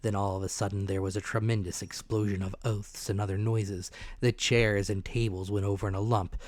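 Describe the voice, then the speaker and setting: A man's voice: on the low side, level tone, fairly quick, dry recording. Man narrating an adventure tale, dry close sound. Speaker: audiobook narrator. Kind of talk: dramatic reading of an adventure novel.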